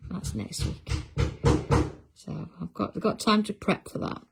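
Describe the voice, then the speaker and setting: A woman's voice: mid-range voice, a little slow, gravelly, very noisy. A middle-aged woman, hosting a craft livestream in casual chat and answering viewer comments as she works. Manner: chatty, reads out viewer comments while working.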